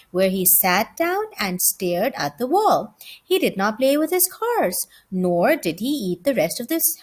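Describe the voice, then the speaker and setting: A woman reading a children's story aloud as an expressive narrator. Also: on the high side.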